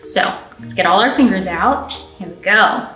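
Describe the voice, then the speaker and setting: A woman in her thirties speaking to young children as a storytime host, in an animated, encouraging way with drawn-out emphasis. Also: mid-range voice, soft background music. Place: indoor library storytime room. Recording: roomy indoor echo.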